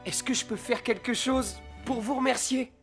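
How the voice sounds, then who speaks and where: Woman playing a high-voiced, spirited cartoon postwoman. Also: moderate pace, music in the background, natural voice. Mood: delighted, grateful. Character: spirited, take-charge young mail carrier woman. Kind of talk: French animated series dubbing.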